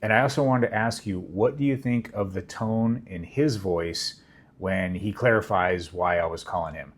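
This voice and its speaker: A middle-aged man who works as a pilot, giving measured, earnest commentary to viewers and asking for their views. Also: sits low, husky. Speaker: vlogger and airline pilot.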